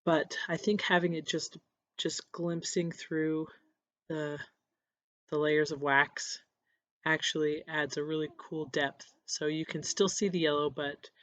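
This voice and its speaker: A painter with a cold, hoarse and scratchy, casually narrating a voiceover of their painting process with halting restarts. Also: medium pitch, little pitch movement, a bit bright, no room sound, highs cut off. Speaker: encaustic painter. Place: voiceover recorded apart from the footage.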